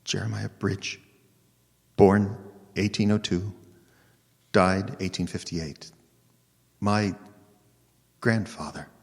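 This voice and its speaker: A man's voice: lowish voice, steady tone, slow pace, creaky, long pauses, husky, exaggerated voice. An actor playing a panicky, imperious rich man in old-time radio horror style, stammering and pleading. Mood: shaken. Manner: stammering, pleading, theatrical gasps. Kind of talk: old-time radio horror acting before a live audience. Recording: long reverb of a live hall.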